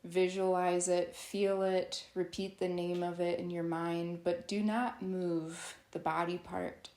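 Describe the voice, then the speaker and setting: A woman leading a guided yoga nidra meditation as a teacher, soothing and instructional, with long pauses. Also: on the low side, level tone, darkish tone, reverberant.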